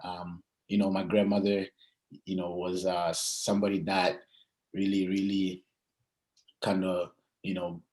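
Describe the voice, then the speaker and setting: A man's voice: low-pitched, monotone, a little mellow. A young man, chatting casually and hesitantly as an athlete being interviewed. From Zimbabwe and South Africa. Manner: hesitant, frequent pauses and "you know".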